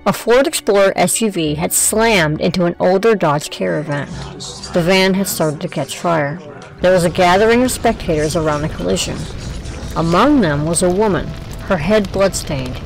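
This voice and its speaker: A woman's voice: lowish voice, moderate pace, soft background music, rough recording. A woman reading scripted narration for an audio drama.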